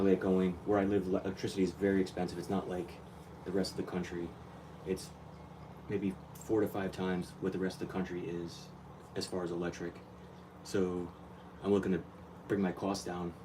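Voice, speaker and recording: Low quiet dude rambling on a phone mic from a ways back, hiss in the room, lots of ums. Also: male voice, recorded from a distance. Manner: quiet, rambling, many fillers and restarts. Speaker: aquarium hobbyist vlogger. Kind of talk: casual talk while working on a tank. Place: indoor room at home. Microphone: phone mic, off camera.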